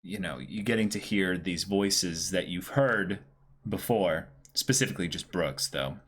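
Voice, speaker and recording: A man's voice: deep voice, a little slow, a bit bright. A man co-hosting a livestream, chatting casually and reading out viewer comments in an agreeable, appreciative way. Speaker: co-host of a livestream reading audience messages.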